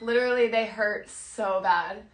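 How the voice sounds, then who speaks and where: A young woman, the host's daughter, chatting casually and telling animated stories full of "like" and "literally.". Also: mid-range voice, measured pace, reverberant. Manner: animated storyteller, says "like" and "literally" often. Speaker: co-host, daughter of the host. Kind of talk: casual chat.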